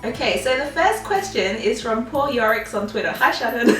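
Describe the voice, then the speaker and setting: A woman's voice: on the high side, animated, a little slow, a bit bright, roomy sound, recorded from a distance, soft background music. A young woman in her twenties, chatting through a casual Q&A with a bubbly, giggly manner and lots of "um"s and "like"s. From Australia. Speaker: vlogger. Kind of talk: casual Q&A chat.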